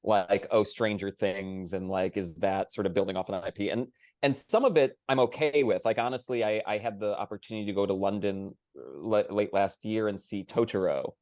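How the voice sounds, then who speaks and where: A man's voice: fairly low pitch, normal speed, few pauses, darkish tone, no room sound, studio quality. Man making emphatic points in discussion. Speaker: theater panelist. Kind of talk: panel discussion.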